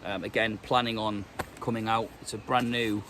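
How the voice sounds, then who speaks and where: A man's voice: lowish voice, little pitch movement, moderate pace, fairly bright tone, slight background noise. Stuffy-voiced British man rambling outdoors. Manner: rambling, many ums and restarts. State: stuffy with a cold. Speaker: vlogger. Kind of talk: casual vlog chat. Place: outdoors in woodland.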